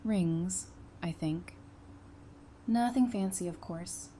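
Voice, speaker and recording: A woman's voice: fairly low pitch, slow, mellow. A woman playing an affectionate, playful survivor in an audio drama, casual and teasing in her delivery.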